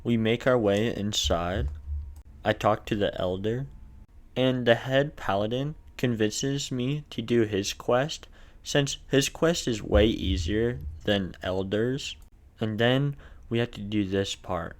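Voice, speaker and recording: A man with a fairly low voice and a lisp narrates his gameplay. He keeps it casual and scripted, with crude, profane jokes mixed into the recap. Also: slow. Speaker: gaming video narrator.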